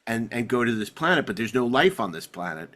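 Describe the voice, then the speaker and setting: A man hosting a podcast, chatting casually about sci-fi television in a rambling, excitable way with stammered repeats. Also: mid-range voice, a little fast. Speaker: podcast host.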